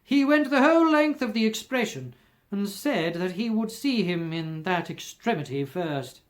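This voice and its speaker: An audiobook narrator reading a classic story in an expressive storytelling style. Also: medium pitch, normal speed.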